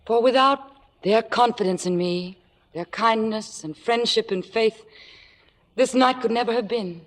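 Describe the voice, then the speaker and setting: A woman's voice: fairly high pitch, a little slow, lightly acted. A woman playing an ambitious young stage actress in theatrical 1950s radio drama style. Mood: gracious, tearful. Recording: echoey, noisy old radio stage recording.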